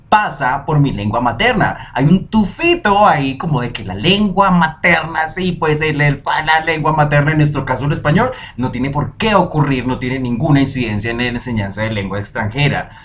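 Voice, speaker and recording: A young man hosting a solo podcast, talking in a lively, emphatic way and dropping in foreign phrases. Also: medium pitch. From Colombia. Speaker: podcast host.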